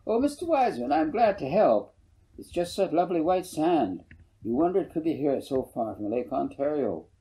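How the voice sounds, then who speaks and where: A man's voice: a little high, sing-song, normal speed, mellow, voice pushed up, rough recording. A man voicing an eager, curious young country boy who asks questions in a storybook reading. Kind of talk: audiobook character voice.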